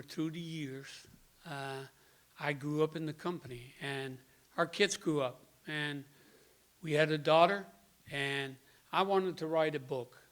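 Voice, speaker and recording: A man in his sixties from the Netherlands with a Dutch accent, giving a plainspoken personal testimony to a group, pausing often on "uh.". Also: a little high, a bit bright. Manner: plainspoken, halting with many "uh"s. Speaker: guest speaker.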